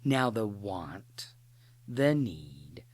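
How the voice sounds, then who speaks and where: A guided imagery narrator speaking a slow meditation script in short, deliberate phrases with pauses between them. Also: sits low, sing-song, a little mellow, slightly rough, dry recording.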